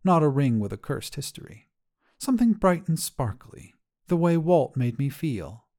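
A man's voice: fairly high pitch, big pitch swings, moderate pace, a little mellow, voice pushed up. A man voicing an elderly widow in a short story, a tender, reflective grandmother who speaks earnestly and wistfully. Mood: resolute, tender. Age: older. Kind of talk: audio short-story dialogue.

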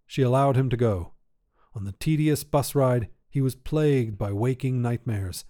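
A man's voice: mid-range voice, mellow. A man reading scripted short fiction as the narrator and host of a story podcast.

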